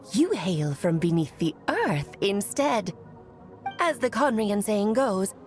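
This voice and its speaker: A woman's voice: on the high side, full character voice. An actress voicing a playful, self-assured woman who calls herself a visitor to this planet. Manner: playful, musing.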